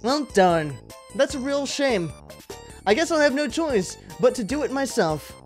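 A man voicing a calm, helpful schoolgirl accomplice, matter-of-fact, in a visual novel read-aloud. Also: very high voice, a little slow, faint music behind, full character voice. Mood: disappointed threat.

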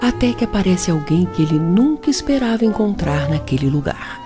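A woman's voice: fairly low pitch, big pitch swings, moderate pace, over music. A woman with a Brazilian accent narrating a scripted radio drama.

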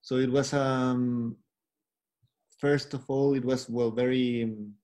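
Hesitant man with mid-pitched voice, English. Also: level tone, slow pace, a little mellow. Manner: hesitant, repeats words. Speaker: filmmaker being interviewed. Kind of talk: casual Q&A on a video call. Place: book-lined room at home. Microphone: laptop video call.

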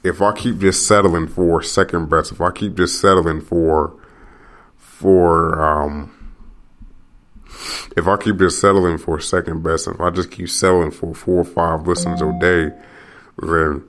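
A young American man with a very low voice hosts his own podcast alone. He rambles restlessly, circles back over the same phrases and swears now and then as he rants. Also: little pitch movement, a little slow, a bit bright. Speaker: podcast host. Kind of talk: rambling solo monologue.

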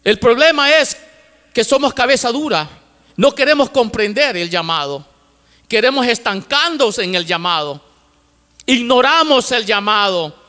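A man's voice: very high-pitched, lively intonation, unhurried, pushed and bright. A middle-aged male pastor preaching fervently on a church stage into a handheld mic, repeating phrases for emphasis, a native English speaker who mixes in English words. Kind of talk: sermon. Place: large church stage with lights. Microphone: handheld microphone. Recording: long hall reverb from church auditorium.

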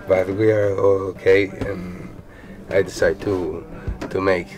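A man's voice: low voice, little pitch movement. Italian-accented man giving a boat tour. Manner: halting, searching for English words. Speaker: sailboat owner. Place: outdoors on a sailboat deck and cabin. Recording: background music.

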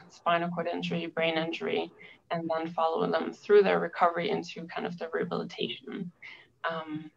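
A woman physician from Germany introducing herself on a clinic panel, measured and full of "um" fillers. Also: fairly low pitch, vocal fry, muffled recording.

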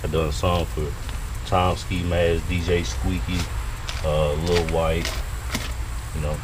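A man's voice: low voice, level tone, slow pace. A man, talking casually to viewers in a laid-back drawl while cooking outdoors at a grill, picked up by a camera mounted above it. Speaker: home cook vlogger. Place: outdoors at a grill beside a house. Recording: noisy outdoor camera audio.

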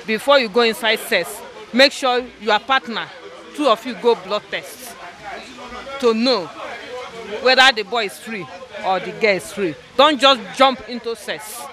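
A young woman answering a street interview outdoors into a handheld mic, earnest and halting, repeating her points. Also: fairly high pitch, sharp tone, a faint crowd. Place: outdoors on a roadside. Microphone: handheld mic held by interviewer.

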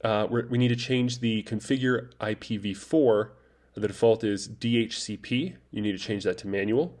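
Man, low voice, relaxed, halting, lots of ums, tech tutorial voice-over. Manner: relaxed, hesitant, many fillers and restarts. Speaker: tutorial presenter. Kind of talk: casual screen-recorded tech tutorial. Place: voice-over over screen recording.

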